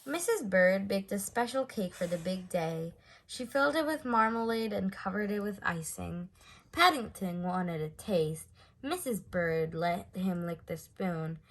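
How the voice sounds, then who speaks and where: A woman reading a children's story aloud in an expressive way, stressing words for effect. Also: medium pitch. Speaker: storybook reader.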